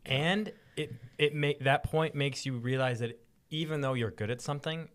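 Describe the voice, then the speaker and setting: A man's voice: mid-range voice, moderate pace. A man co-hosting a podcast, chatting casually about scripture with informal, slangy enthusiasm. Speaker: podcast co-host.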